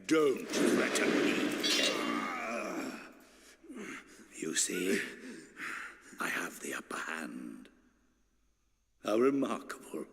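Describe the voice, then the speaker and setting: A man's voice: low-pitched, creaky, a bit bright, in a large hall, exaggerated voice. An actor playing an arrogant old male sorcerer in a video game, with a sneering, theatrical, condescending manner. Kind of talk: dramatic video game acting.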